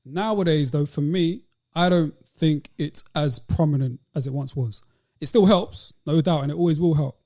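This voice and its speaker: Higher-pitched, mellow British man chatting casually. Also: moderate pace. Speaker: podcast co-host. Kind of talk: casual opinion chat.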